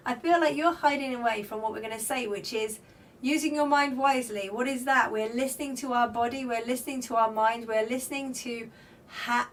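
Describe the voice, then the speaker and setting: A woman with a slightly croaky voice, reading spirit cards on a livestream and chatting with viewers by name, giving flowing spiritual guidance. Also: a little high, a bit bright. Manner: reads comments aloud and greets viewers by name. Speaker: tarot card reader on a livestream. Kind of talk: live card-reading stream, chatting with viewers. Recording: slightly distant mic with faint background noise.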